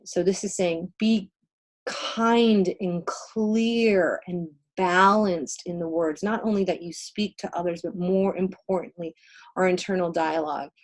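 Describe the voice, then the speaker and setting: A woman with a slightly low voice, a native Spanish speaker. She co-hosts a casual spiritual healing livestream, giving readings conversationally, with emphatic phrasing and pauses for effect.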